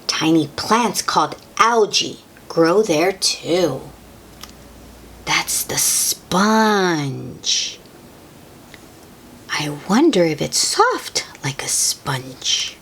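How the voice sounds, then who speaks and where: A woman's voice: deep voice, sing-song, very slow, fairly bright tone, a little hiss or hum. A woman reading a children's book aloud, adding simple comments and questions for young listeners in a child-directed, wondering manner. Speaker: host reading picture books aloud to children.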